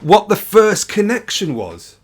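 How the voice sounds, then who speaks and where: A man's voice: sits high, huge pitch swings, unhurried, husky. A man hosting a casual interview, enthusiastic and often repeating words. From Coventry, England. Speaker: host.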